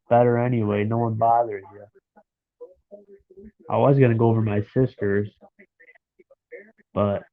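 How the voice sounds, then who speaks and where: A man in his thirties with a stuffed-up nose, chatting and rambling to viewers from his bed at home on a live stream. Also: fairly low pitch. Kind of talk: casual live stream chat. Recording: dry, phone-like lo-fi sound.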